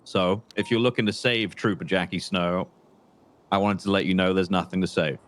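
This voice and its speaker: A low-pitched male crime boss played by a man in a roleplay game, once a trooper and now bitter and sure of himself. He talks in a deliberate, halting way, repeating words, with condescending menace. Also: fairly even intonation, a bit bright. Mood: menacing, boastful. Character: menacing, bitter former state trooper turned confident criminal. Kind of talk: improvised crime roleplay phone conversation.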